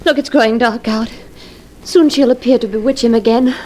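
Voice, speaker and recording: Devoted but anxious wife woman actor, high voice, melodramatic vintage radio horror. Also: steady tone, fairly quick, darkish tone, natural voice. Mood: anxious, determined. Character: anxious, devoted young wife in a haunted house. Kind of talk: melodramatic old-time radio acting. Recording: noisy old radio drama recording.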